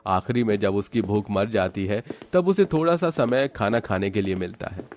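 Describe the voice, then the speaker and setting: A man's voice: fairly low pitch, animated, normal speed, darkish tone, faint music behind. A man narrating a scripted moral story as its storyteller.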